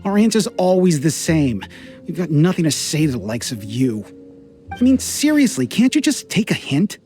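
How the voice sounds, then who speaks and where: An actor playing a resentful townsfolk worker who speaks in an exasperated, confrontational way. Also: male voice, on the high side, sing-song, normal speed, darkish tone, soft background music.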